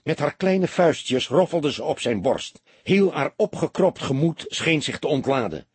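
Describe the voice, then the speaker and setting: A man's voice: a little high, very animated, measured pace, slightly rough, no echo. A man reading a detective novel aloud as dramatic scripted narration. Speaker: audiobook narrator.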